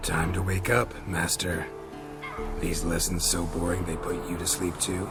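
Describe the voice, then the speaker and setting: An actor playing a stoic, grizzled male monster hunter in a video game, talking in a dry, terse, deadpan way. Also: low voice, unhurried, over music. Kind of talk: video game cutscene acting.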